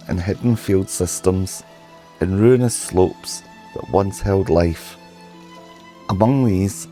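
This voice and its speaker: A man narrates a documentary in a slow, solemn, measured way, his voice hoarse from a sore throat. It sits very low and sounds mellow. Faint music plays underneath. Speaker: history channel host.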